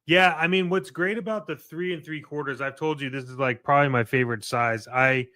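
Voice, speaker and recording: A man's voice: on the high side, fairly quick, bright, forceful tone, dry recording, studio quality. A man co-hosting a podcast, chatting informally and emphatically about collectibles, with plenty of casual filler words. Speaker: podcast co-host.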